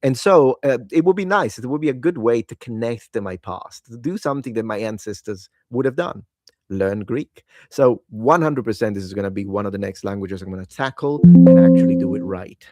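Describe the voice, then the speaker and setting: A man's voice: on the low side, animated, fairly quick, mellow, no echo, studio quality, soft background music. A tired man whose native language is Italian, chatting casually and enthusiastically about the languages he wants to learn, often rambling and correcting himself. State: tired, late at night. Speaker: language-learning video host. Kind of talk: casual solo talk to camera.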